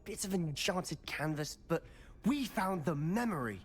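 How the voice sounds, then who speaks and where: A man's voice: on the high side, expressive, measured pace, husky, long reverb tail. A young man acting as an intense, persuasive student in a fantasy video game, insistent and pleading.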